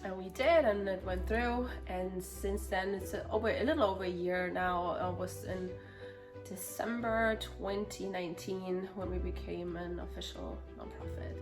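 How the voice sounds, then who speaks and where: A woman's voice: on the low side, animated, normal speed, music in the background. A woman in her thirties from Germany, with a German accent, talking casually as an interview guest and now and then searching for words. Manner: chatty, laughs often, searches for words. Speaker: guest, nonprofit founder.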